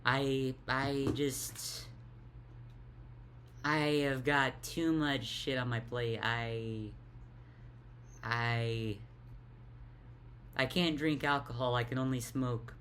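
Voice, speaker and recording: A young woman with a deep voice talks in a tired, halting way, stuttering and repeating words in a casual confessional vlog. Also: steady tone, a bit bright, slight background noise. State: tired, worn out. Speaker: vlogger.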